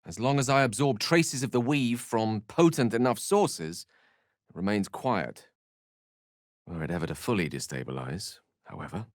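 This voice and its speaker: A man's voice: on the low side, lively intonation, moderate pace, no room sound, clean studio sound, natural voice. A man voicing an articulate, bookish human wizard in a video game, wry and gallant, with theatrical, wordy delivery. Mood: grave, explaining. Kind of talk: video game character acting.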